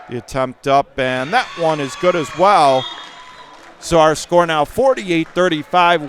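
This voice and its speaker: A man with a slightly high voice gives radio play-by-play of a high school basketball game from courtside. His calls come in short clipped bursts that climb in pitch and break into shouts on steals and drives. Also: slight background noise. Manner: rapid clipped calls that rise sharply and get shouted on big plays.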